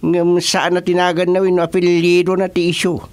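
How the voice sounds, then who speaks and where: A male actor with an Ilocano accent playing an excitable, joking village senior in a rural comedy radio drama. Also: high voice, brisk, natural voice. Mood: teasing, amused. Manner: teasing, animated, colloquial.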